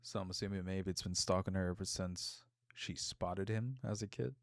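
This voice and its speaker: A man co-hosting a casual chat, answering quietly and hesitantly in few words. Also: deep voice, steady tone, moderate pace, no echo, studio quality. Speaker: co-host reacting to the discussion. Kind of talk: casual low-key chat.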